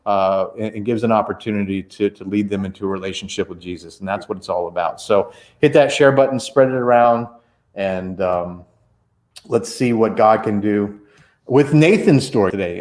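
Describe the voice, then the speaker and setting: A chatty man in his forties hosts a church livestream interview. His voice sits fairly low, and he rambles through plenty of fillers and restarts. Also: darkish tone.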